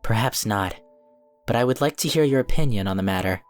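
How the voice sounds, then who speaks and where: A man playing a calm, diplomatic cookie wizard who speaks in an earnest, formal, measured way in a fan cartoon drama. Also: on the low side, clear, resonant tone, soft background music, natural voice. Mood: thoughtful. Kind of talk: scripted fan audio drama acting.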